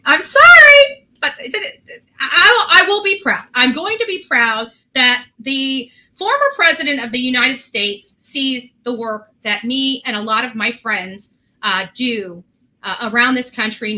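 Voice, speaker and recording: A woman's voice: sits high, sharp tone. A woman talking on her livestream in a rambling, proud and defensive way, repeating herself often.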